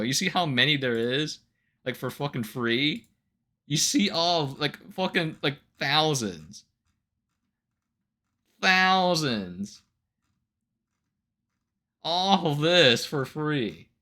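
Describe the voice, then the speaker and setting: A male live streamer with a bright, slightly high voice talks off the cuff to his viewers. His pitch moves around a lot as he rants in an incredulous, loose and sweary style. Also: slow pace, long pauses. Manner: animated, incredulous, heavy casual swearing; laughs often between remarks. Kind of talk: casual livestream chat, ranting to viewers.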